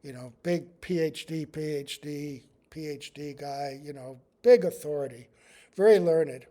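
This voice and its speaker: A man giving a devotional class, talking in a relaxed and rambling way. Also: fairly high pitch. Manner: chants prayers slowly, then talks in a relaxed, rambling way. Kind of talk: devotional class with chanted prayers.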